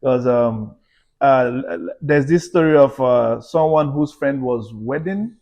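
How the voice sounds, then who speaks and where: A man's voice: mid-range voice, a little slow, creaky, darkish tone, dull audio. A man co-hosting a podcast, chatty and questioning, telling anecdotes in a casual conversation. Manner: chatty, tells anecdotes, asks pointed questions. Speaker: podcast co-host. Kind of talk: casual chat.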